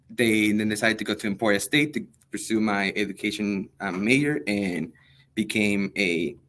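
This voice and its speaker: Earnest, hesitant young man, low voice. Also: fairly bright tone. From El Salvador. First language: Spanish. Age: young adult. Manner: hesitant, many ums, earnest. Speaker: high school history teacher. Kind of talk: interview answers.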